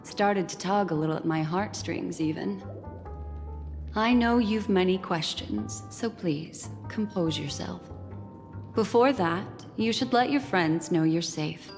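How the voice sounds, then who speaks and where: Casting: mysterious game woman, composed and teasing, mid pitch, long reverb, soft music underneath. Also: a little slow. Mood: composed, gentle. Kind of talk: video game dialogue.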